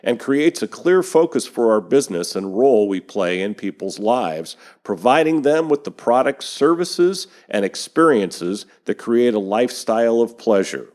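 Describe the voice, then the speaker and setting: A man hosting a podcast, reading industry news from a script and introducing his guest in a steady read-aloud manner. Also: medium pitch, moderate pace, few pauses. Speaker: podcast host.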